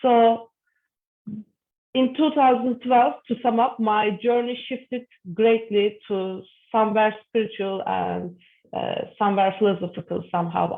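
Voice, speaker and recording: A woman of about thirty-two with a Turkish accent and a mid-pitched voice. She speaks as an interview guest, giving an earnest personal account in deliberately chosen phrases. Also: phone-quality sound. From Istanbul, Turkey. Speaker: guest, psychologist and yoga teacher.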